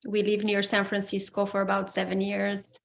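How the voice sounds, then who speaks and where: A woman from Romania in her forties, a coach who is a guest on a video call. She speaks at a middling pitch in a friendly, chatty manner. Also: monotone, normal speed, vocal fry. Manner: friendly, chatty, laughs easily. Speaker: guest, personal development coach. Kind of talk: interview answers.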